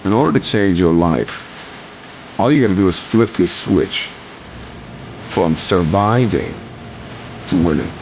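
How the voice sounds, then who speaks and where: A man giving a motivational speech in emphatic, deliberate phrases with rhetorical repetition. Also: low voice, expressive, vocal fry, a little mellow, a little hiss or hum. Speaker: motivational speaker.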